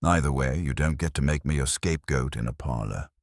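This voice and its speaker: Man playing deep-voiced slippery corporate consultant. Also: brisk, dry recording, clean studio sound. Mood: defensive. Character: smooth, evasive male corporate consultant, condescending and slippery.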